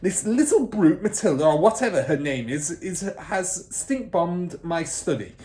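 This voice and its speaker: A man reads the part of a fierce middle-aged headmistress from a children's storybook. She barks her words loudly and scornfully, bullying whoever listens, with big swoops in pitch. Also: sing-song, a little slow. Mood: furious, overbearing. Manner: barking, shouting, snorting and scornful. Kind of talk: children's storybook character reading.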